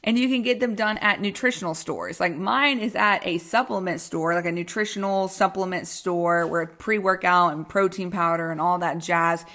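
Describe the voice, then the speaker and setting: A woman's voice: fairly low pitch, moderate pace, few pauses, fairly bright tone. A woman podcaster with a Texan accent talks in a bubbly, informal flow. She rambles casually and pokes fun at herself, like a health coach chatting to her regular listeners. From Texas, United States. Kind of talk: casual solo podcast chat.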